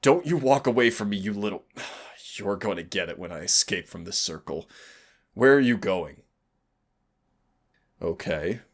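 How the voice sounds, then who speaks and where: A man's voice: fairly low pitch, animated, unhurried, pauses often. A man playing a cocky, sardonic demon mentor in a scripted roleplay audio, teasing and exasperated yet fond. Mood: panicked, indignant.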